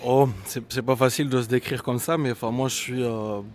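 Man, mid pitch, reflective, hesitant, musician guest. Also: fairly even intonation, a little fast, a little mellow, a little hiss or hum. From Brussels, Belgium. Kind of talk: interview answer.

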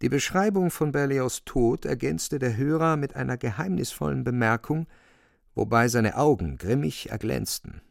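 Gravelly male narrator, closely recorded. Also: mid-range voice, moderate pace, dry recording, clean studio sound. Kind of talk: scripted literary narration in a radio drama.

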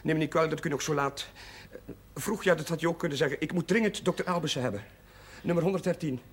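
An actor playing a flustered, caring young chaplain in a television drama, talking in an agitated, halting way. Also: male voice, a little high, slightly rough. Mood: flustered, urgent. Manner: agitated, halting, repeating himself. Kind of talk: naturalistic television drama acting. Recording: noisy, hissy old television soundtrack.

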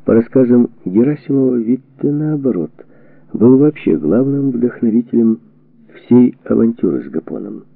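A man reading a historical book as a scripted audiobook narration, in a measured, deliberate way with weighted pauses, on a narrow lo-fi recording. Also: medium pitch, lively intonation, creaky, dry recording. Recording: narrow phone-like band, lo-fi audio.

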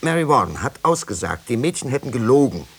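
A doubting clergyman torn by conscience in a vintage radio drama. He pleads earnestly and formally at medium pitch, rising and falling dramatically. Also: very animated, a little fast, breathy edge. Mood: anguished, urgent. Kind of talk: 1950s German radio drama acting. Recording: hissy old radio drama recording.

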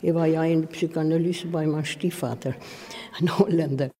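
Older woman, Swedish accent, husky, dark tone, long room reverb, emphatic reminiscence. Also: sits low, steady tone, normal speed. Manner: non-native grammar, emphatic storytelling. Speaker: interviewee, former stage designer. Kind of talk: personal reminiscence in an interview.

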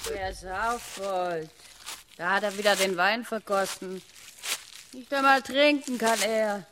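A woman's voice: mid-range voice, takes it slowly, background noise, close to an everyday voice. A woman in a radio play playing a scornful, indignant scold, mounting in force. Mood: scornful outrage. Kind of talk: stylized radio play acting.

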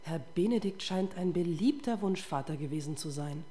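A woman's voice: lowish voice, expressive, moderate pace, warm tone, close to an everyday voice. An actress playing a tough, relentless female police detective in a German radio crime drama, confrontational and sardonic.

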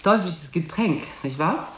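A woman's voice: fairly low pitch, expressive, unhurried, roomy sound, a little hiss or hum. A woman playing a middle-aged, worldly artist's wife in a radio drama, chatty, affected and patronising. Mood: patronising, needling. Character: condescending, worldly artist's wife, secure and slyly needling. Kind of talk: German radio play acting.

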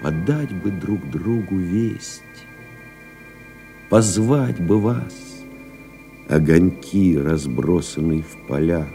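An actor playing a reflective male pilot in an audio play, delivering a measured, contemplative literary monologue. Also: low-pitched, animated, vocal fry, warm tone, faint music behind, heavily acted. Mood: wistful, lyrical. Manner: measured, contemplative, deliberate phrasing. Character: reflective male French pilot recalling his flights. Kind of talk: dramatic literary monologue, scripted narration.

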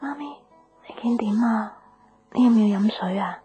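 An actress playing an earnest, emotional young woman in a radio drama, speaking softly with a Hong Kong Cantonese accent. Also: mid-range voice, moderate pace, close to an everyday voice. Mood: tender, concerned. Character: earnest young woman torn between love and her mother. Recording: music bed behind the voice.

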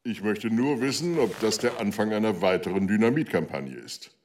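An actor playing a gruff, impatient senior government minister in a German radio drama, speaking in curt, blustering bursts. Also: male voice, fairly low pitch, expressive, normal speed, background noise, natural voice. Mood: indignant.

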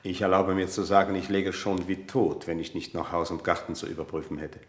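An actor playing a formal, obsequious servant with quiet menace, speaking in a stilted, overly polite way in a German radio play. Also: male voice, low voice, little pitch movement, brisk, close to an everyday voice. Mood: dutiful, formal. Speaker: household servant.

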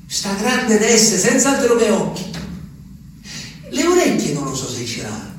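A man's voice: sits high, wildly swooping pitch, measured pace, heavily acted. An actor playing a lonely old peasant man, musing aloud to the moon in a theatrical monologue. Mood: wistful. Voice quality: musing, talks to the moon, rambling. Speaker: stage actor. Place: theatre stage. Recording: distant stage recording with reverb and faint noise.

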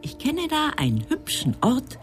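A woman's voice: sits high, wildly swooping pitch, unhurried, heavily acted. An actress playing an embittered, scornful mother in her forties, in a radio drama with music. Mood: coaxing. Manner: scornful, emphatic.

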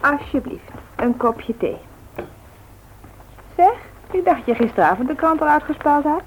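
A woman's voice: high-pitched, animated, a little slow, low-quality recording. An actress in a Dutch radio play, voicing a chatty, attentive young woman. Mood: chatty, helpful. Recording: old radio recording with faint noise.

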